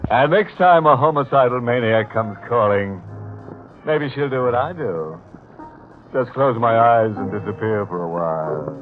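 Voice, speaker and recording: An actor playing a gleefully macabre horror show host on 1940s radio, sardonic and mock-sinister. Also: male voice, mid-range voice, lively intonation, measured pace, phone-quality sound, close to an everyday voice. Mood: macabre glee. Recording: thin old radio broadcast with music.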